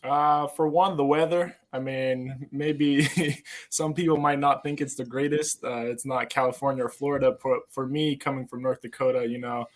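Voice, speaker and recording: A man in his twenties from Serbia speaks as an athlete guest in a casual interview. His pitch is average for a man, and he feels his way through answers in halting, hesitant phrases. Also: fairly even intonation. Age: 20s. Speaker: basketball player guest.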